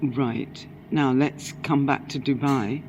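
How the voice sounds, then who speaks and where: Measured woman interviewer, dull recording. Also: rumbling low, steady tone, noisy background. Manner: measured, steers with short prompts and back-channel murmurs. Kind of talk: structured interview questions.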